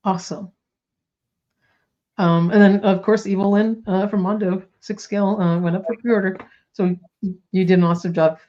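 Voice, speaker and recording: A woman's voice: mid-range voice, fairly even intonation, moderate pace, pauses often, dull audio. A woman co-hosting a toy news podcast in casual chat, bubbly and full of fillers, coming down with a slight cold. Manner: chatty, bubbly, lots of likes and ums.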